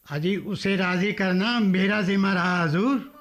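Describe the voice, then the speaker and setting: A man's voice: high-pitched, a little slow, a bit bright, faint music behind. An actor playing a wily, obsequious middleman in a radio drama, deferential and persuasive as he schemes. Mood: fawning, persuasive. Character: wily, obsequious middleman coaxing landlord and buyer. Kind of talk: Hindi radio drama acting.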